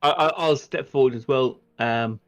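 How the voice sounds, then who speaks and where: A man's voice: mid-range voice, normal speed. A man taking part as a player in a tabletop roleplay, casually describing his actions. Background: soft music.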